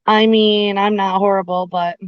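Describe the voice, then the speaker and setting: A woman on a pagan livestream panel, losing her voice, giving brief, low-key replies in casual chat. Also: flat delivery, moderate pace, clear, resonant tone, no echo. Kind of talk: casual group chat on a live video call. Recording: dull dry video-call audio.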